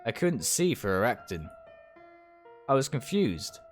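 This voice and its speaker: A man streams a visual novel, reading the narration aloud with drama. His medium-pitched voice moves around a lot and sometimes trips on a phrase, with faint music underneath. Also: sing-song, a little slow. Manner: stumbles over words and rereads them.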